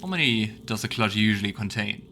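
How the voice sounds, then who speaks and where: A man's voice: lowish voice, lively intonation, brisk, bright, forceful tone, a little hiss or hum. A man hosting a let's play, commenting casually and reading dialogue choices aloud.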